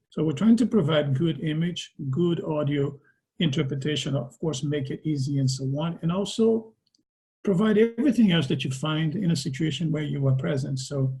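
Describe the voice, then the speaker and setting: A man's voice: a little high, dark tone, rough recording. A man with a Portuguese accent, a native Portuguese speaker, giving a conversational presentation over a video call and often ending his points with a tag question. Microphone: video call microphone.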